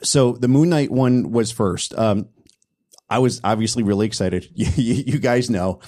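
A man's voice: mid-range voice, moderate pace, mellow. A man hosting a podcast in casual chat, enthusiastic, often stammering and restarting his sentences. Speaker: podcast host.

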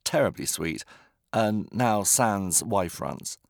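A man's voice: on the low side, expressive, slow, vocal fry, dry recording. British man narrating, dry close sound. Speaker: author reading own novel. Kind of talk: audiobook narration of comic fiction.

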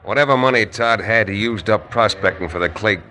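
A calm young frontier hero in a vintage radio western. His voice sits a touch low with a bright, forceful ring, and he sounds commanding and wry. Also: a little fast, faint music behind. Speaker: lead actor. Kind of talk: 1950s radio acting.

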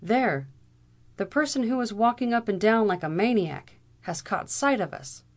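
A woman narrator plays a bold lawyer in an old storybook tale. He is brisk, commanding and drily amused, speaking up firmly with a creaky edge. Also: high-pitched, lively intonation, voice pushed up. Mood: brisk confidence.

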